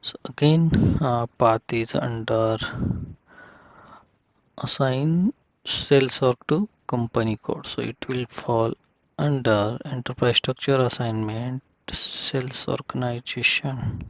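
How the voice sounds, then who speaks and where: A man giving a casual step-by-step software tutorial, speaking in short, halting phrases as he works through the screen. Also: medium pitch, steady tone, dry recording, dull audio.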